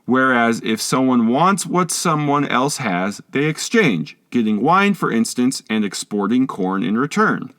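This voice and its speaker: A man delivers scripted audiobook narration with a lively, widely moving pitch. His reading is measured and instructive, and the sound is dry and close, free of room echo. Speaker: audiobook reader.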